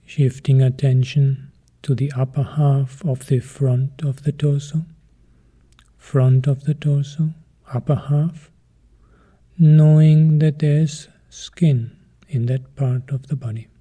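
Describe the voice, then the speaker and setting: A man leading a guided meditation as a teacher, calm and measured, in short phrases with pauses between them. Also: mid-range voice, husky.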